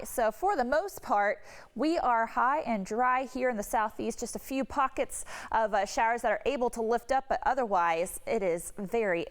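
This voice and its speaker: A woman meteorologist giving an ad-libbed television weather forecast, explaining things conversationally and repeating words for emphasis. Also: fairly high pitch.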